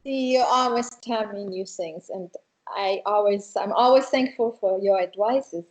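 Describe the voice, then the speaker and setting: A woman's voice: medium pitch, unhurried, a little mellow, rough recording. A woman interviewer asking short, friendly questions in a casual interview. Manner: friendly, brief prompts and questions.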